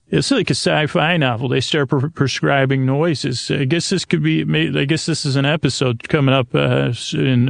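Droning, meandering man with a creaky voice. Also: medium pitch, dry recording, clean studio sound. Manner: meandering, halting, droning, whimsically tangential. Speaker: podcast host. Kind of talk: rambling, improvised bedtime podcast monologue.

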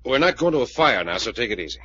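An American man acting in 1940s radio style as a decisive, tough detective leading a trio, brisk and commanding. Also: mid-range voice, sing-song, natural voice. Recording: muffled, noisy old radio recording.

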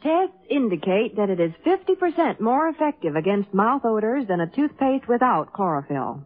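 A woman's voice: fairly high pitch, very animated, moderate pace, breathy edge. A woman reading a scripted 1950s radio commercial. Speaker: commercial announcer. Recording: tinny vintage 1950s radio broadcast.

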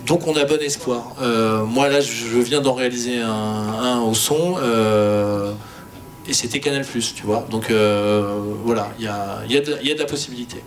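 A man talks as a guest director on a panel. His voice sits at an ordinary middle pitch, and he speaks informally and with keen emphasis about his craft. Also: normal speed, a little hiss or hum.

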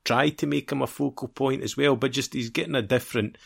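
A man's voice: medium pitch, steady tone, brisk, vocal fry, fairly bright tone, slightly rough. A man co-hosting a football podcast in a casual, discursive conversational way.

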